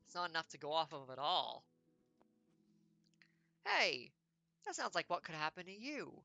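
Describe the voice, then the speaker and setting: Woman streamer reading a text adventure, joking around, low but bright and clear voice. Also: very animated, dry recording, muffled recording. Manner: playful, wry, quick asides. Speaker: game streamer. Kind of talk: reading a text adventure aloud with jokey asides.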